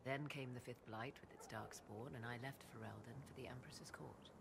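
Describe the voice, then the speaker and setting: An actress playing a proud, enigmatic sorceress in a video game, speaking in theatrical, archaic and formal phrases. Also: female voice, very deep voice, normal speed, creaky, noisy background. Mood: wry, reflective. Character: proud, enigmatic apostate sorceress, arcane advisor. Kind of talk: video game dialogue acting.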